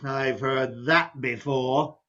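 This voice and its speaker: A man's voice: medium pitch, fairly even intonation, slow, bright, forceful tone, highs cut off. A man playing a flustered, reluctant doctor who protests hesitantly in a stage farce. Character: flustered, reluctant mild male doctor, easily put upon. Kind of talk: stage farce readers theater acting.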